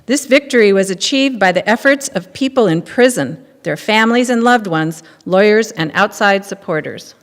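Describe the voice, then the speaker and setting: A woman's voice: medium pitch, expressive, normal speed, hardly any pauses. An older woman giving a scripted reading aloud from a lectern. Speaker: reader in readers theater. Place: large temple hall, at lectern. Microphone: microphone on stand. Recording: echoey hall.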